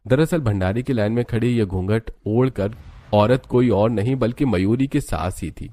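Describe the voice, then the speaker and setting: A man's voice: on the low side, moderate pace, warm tone, no echo. A man narrating a scripted moral story as its storyteller.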